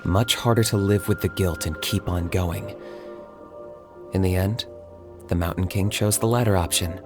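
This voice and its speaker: An actor voicing a calm, reserved young warrior in a video game, speaking in a measured, dry way. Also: male voice, low-pitched, lightly acted. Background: game music.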